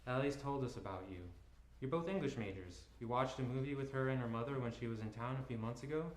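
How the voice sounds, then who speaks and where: A man voicing a calm middle-aged father on the phone, measured and polite, in an echoey room. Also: mid-range voice, natural voice.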